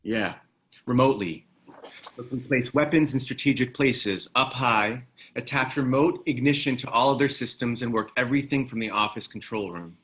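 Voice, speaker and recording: A wry former military man, played by an actor at a table read, talks in a mid-pitched voice. He is easygoing, laconic and protective, in a naturalistic screen-drama style. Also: moderate pace, a bit bright, natural voice. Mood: frank, practical.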